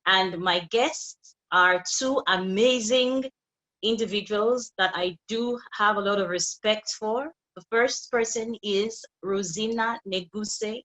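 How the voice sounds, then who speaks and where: A woman moderating an online panel, lively and chatty, laughing often and repeating words as she hosts over a video call. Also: mid-range voice, slow, rough recording. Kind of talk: casual panel hosting.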